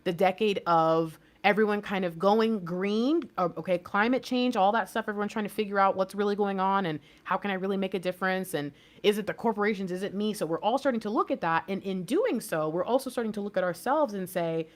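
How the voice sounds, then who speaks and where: A woman's voice: medium pitch, a little fast, few pauses. A woman in her thirties from the United States, giving a casual tarot reading in a chatty, rambling way and laughing often. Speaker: tarot reader.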